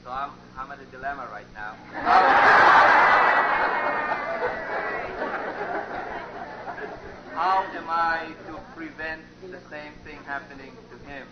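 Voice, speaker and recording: A man's voice: a little high, sing-song, drawn-out words, long pauses, over a noisy crowd. A man in a conference audience asking a spontaneous personal question, hesitant and searching for his words. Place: conference room, speaking from the audience. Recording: muffled, noisy, echoey live cassette recording.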